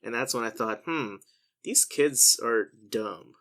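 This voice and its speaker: A man's voice: mid-range voice, animated, slow pace, fairly bright tone. Wry storytelling man from India. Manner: wry, storytelling, acts out quoted voices. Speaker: podcast co-host. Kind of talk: casual chat.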